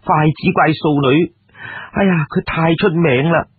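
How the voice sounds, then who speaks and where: A man's voice: fairly high pitch, unhurried, husky, dry recording. A man with a Cantonese accent voicing a worldly, sighing brothel madam in an ancient tale. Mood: resigned. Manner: sighing, coaxing. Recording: muffled, narrow phone-grade audio.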